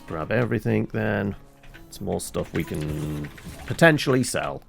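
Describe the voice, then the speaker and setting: A man's voice: fairly low pitch, expressive, a little slow, vocal fry, over music. A British man giving casual, chatty live commentary as he plays a game, with wry asides. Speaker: game streamer.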